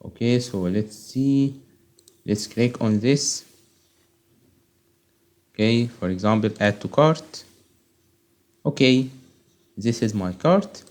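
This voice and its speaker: A man whose first language is Arabic, tutoring on software testing and walking through a quiz step by step, checking in often with "okay?". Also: medium pitch, slow pace, pauses often. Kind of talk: screen-recorded tutorial walkthrough.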